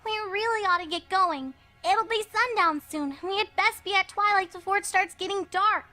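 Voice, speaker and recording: A woman voicing a small, earnest, uneasy young filly pony with a childlike squeaky voice in a story reading. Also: moderate pace, sharp tone, soft background music. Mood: wary. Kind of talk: dramatic fan fiction reading.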